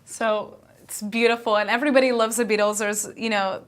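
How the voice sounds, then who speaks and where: Upbeat female TV interviewer, average pitch. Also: fairly even intonation, fairly quick, faint music behind. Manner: bright, upbeat questions. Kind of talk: TV interview hosting.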